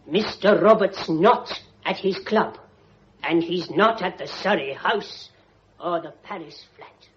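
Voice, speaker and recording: An actress playing a formal, deferential household servant in a radio drama, speaking in a measured way. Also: female voice, on the low side. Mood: formal concern. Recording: dull, noisy radio drama recording.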